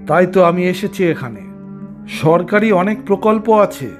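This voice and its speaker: A man's voice: high-pitched, lively intonation, normal speed, soft background music. A man voicing a composed, authoritative government officer in a Bengali cartoon, formal and explanatory. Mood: reassuring, official.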